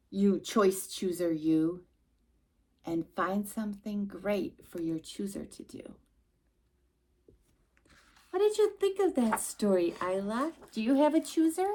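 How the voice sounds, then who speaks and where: Slow, very animated woman reading a children's story. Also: mid-range voice. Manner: animated, emphatic, with asides to a child listener. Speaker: children's storytime reader and host. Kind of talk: expressive read-aloud of a rhyming picture book to a young child.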